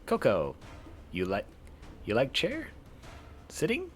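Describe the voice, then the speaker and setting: A man voicing a cheerful young girl from a visual novel who speaks in halting, simple broken phrases. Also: mid-range voice, wildly swooping pitch, faint music behind, pitched above the performer's own voice. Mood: playful, curious.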